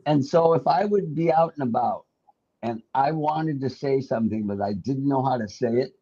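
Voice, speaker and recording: Middle-aged American man, husky storyteller. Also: mid-range voice, moderate pace, warm tone, dull audio. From United States. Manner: self-deprecating storyteller, laughs often. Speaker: host. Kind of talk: casual chat.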